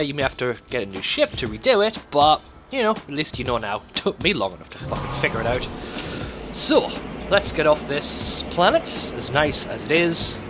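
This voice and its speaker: A man doing casual, rambling video game commentary, swearing freely and talking to himself as he plays. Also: on the high side. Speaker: let's play host. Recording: music behind the voice. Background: game music.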